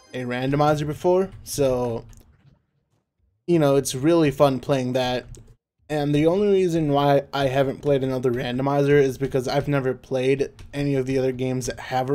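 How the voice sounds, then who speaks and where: A young man talking casually in a laid-back monotone over his gameplay, with lots of fillers. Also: mid-range voice. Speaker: gaming YouTuber.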